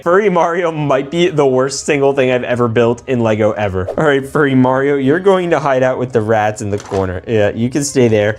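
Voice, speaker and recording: A man's voice: mid-range voice, a little mellow. A man hosting a casual toy unboxing video with playful, exclamatory, slangy commentary. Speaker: host of a toy building video. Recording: background music under the voice.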